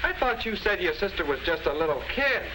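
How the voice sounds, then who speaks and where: An actor playing a polite, eager young American house guest in a 1950s sitcom-style film. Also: male voice, high voice, big pitch swings, a little fast. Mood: casual. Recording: noisy vintage 1950s film soundtrack.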